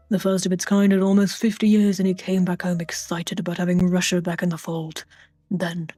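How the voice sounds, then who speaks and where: A woman voicing a grave, brooding monster man in a read-aloud novel, with a deep, strained voice, solemn and halting. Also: mellow, no room sound, lightly acted. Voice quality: deep, throat-strained put-on voice.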